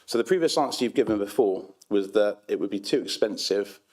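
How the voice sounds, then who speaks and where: A man reads out his question to a council meeting, his voice sitting slightly low. He is persistent and keeps going when the chair tries to cut him off. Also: expressive, fairly quick, darkish tone. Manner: persistent, pushes on when interrupted. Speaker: member of the public asking a question.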